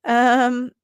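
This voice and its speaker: A chatty woman hosts a live hoof-care stream with a fairly high voice, words tumbling out fast and doubled back on themselves. She laughs easily between points. The sound is close and dry with no room echo. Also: clean tone. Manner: rapid-fire, repeats words, chatty; laughs often. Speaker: livestream host, hoof care teacher. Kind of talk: casual live-stream teaching and reading viewer posts. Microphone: livestream setup.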